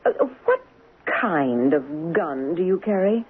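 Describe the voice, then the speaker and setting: An actress playing a tactful, cautiously curious wife in an old-time radio drama, with a husky voice and a polite, hesitant manner. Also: female voice, low voice, expressive, takes it slowly, full character voice. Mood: tentative, curious. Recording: thin phone-like old radio recording.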